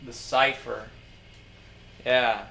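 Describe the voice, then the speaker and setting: A man giving casual, profane running commentary, muttering and then breaking into sudden loud outbursts, his throat strained. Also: medium pitch, steady tone, very slow, vocal fry, noisy background. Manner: swears a lot, mutters, jumps to loud outbursts. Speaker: streamer reacting to videos.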